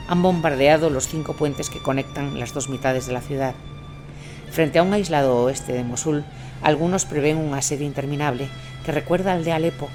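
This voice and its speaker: A man narrating scripted war reportage in a read, journalistic style. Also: a little high. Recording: music bed under voice.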